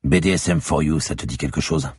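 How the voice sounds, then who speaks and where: A man's voice: very deep voice, a little fast, a little mellow, husky, no room sound, studio quality, heavily acted. A man playing a hard-boiled, sarcastic, menacing police commissioner in an audiobook. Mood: hard, insistent.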